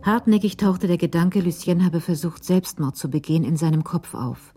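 Female narrator for radio thriller: deep, mellow, scripted storytelling. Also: normal speed, slightly rough, soft background music.

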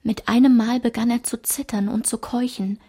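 An actress playing a woman who recounts a traumatic childhood memory in a measured, restrained literary radio play narration, at times dropping to a whisper. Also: a little high, fairly even intonation, dark tone. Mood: sombre, tense.

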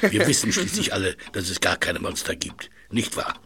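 A man in a radio play acting a gentle, eccentric animal lover, speaking softly, breathily and in formal, deliberate phrases. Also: lowish voice, wildly swooping pitch, crowd noise. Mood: feigned indignation.

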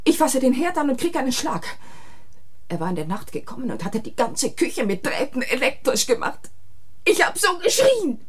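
A haunted, husky wife in shock. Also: female voice, sits high, very animated, heavily acted. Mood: panicked, agitated. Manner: halting, repetitive, haunted phrasing. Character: terrified, traumatized wife who has struck down her tormenting husband. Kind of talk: German radio crime play acting. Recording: vintage radio drama recording.

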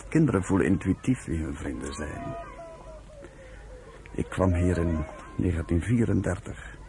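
An actor playing a kindly, talkative older professor in a radio drama, with a gravelly voice and genial, expansive manner. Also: male voice, low voice, expressive, unhurried, warm tone, slight background noise. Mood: reflective, kindly.

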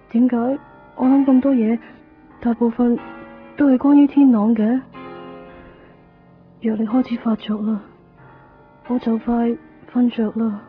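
A woman's voice: a little high, close to an everyday voice. An actress playing a frail, wistful young woman patient, pleading and sighing drowsily in a radio drama. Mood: sleepy pleading. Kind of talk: radio drama acting with first-person monologue. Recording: tinny band-limited 1990s radio, faint music.

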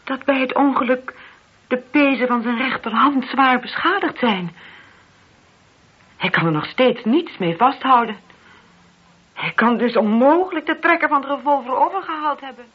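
Distressed wife, radio play, high-pitched voice, very husky and breathy, hesitant, halting confession. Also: female voice. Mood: defensive, earnest. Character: distressed married woman confessing to a police inspector. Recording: muffled, lo-fi radio drama recording.

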